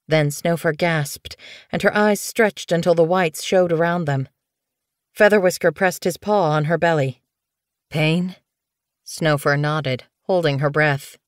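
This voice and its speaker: A woman narrating scripted fiction as an audiobook reader, in a measured, expressive storytelling manner. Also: fairly low pitch, dry recording, studio quality.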